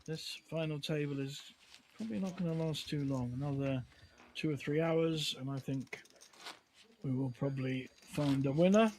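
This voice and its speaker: A man's voice: a little high, normal speed, a little mellow, slight background noise, low-quality recording. A man giving chatty, conversational live commentary on a poker livestream.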